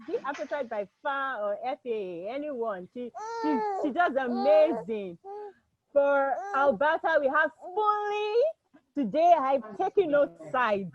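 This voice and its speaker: A woman in her thirties with a Nigerian accent, a Yoruba speaker, hosting an online cooking contest from home in a chatty manner, often restarting and laughing. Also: high voice. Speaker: host. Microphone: video call. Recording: dull, dry home video call audio.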